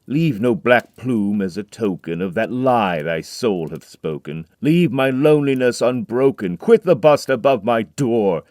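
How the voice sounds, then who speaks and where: A man voice acting a grieving, haunted man in an audio drama, reading dramatic verse that swings from hushed brooding to loud, pleading outbursts. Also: medium pitch, expressive, a little slow, hardly any pauses, no echo, studio quality, lightly acted. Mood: anguished.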